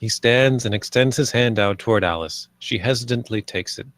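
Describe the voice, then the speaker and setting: A man's voice: medium pitch, normal speed, fairly bright tone, dry recording. A man reading out stage directions and scene headings as narrator at a film table read.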